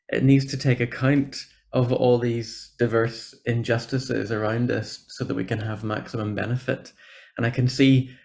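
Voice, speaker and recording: A Northern Irish man speaks at mid pitch with a noticeable creak in his voice. He presents informally to an online meeting, in an encouraging and appreciative manner. It sounds like a guest speaker talking over a video call. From Northern Ireland. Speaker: church programme officer, guest speaker.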